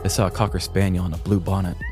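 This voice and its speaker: A man co-hosting a podcast, telling a scripted comedic story in a deliberate, mock-grandiose way. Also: deep voice, little pitch movement, over music. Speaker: podcast co-host.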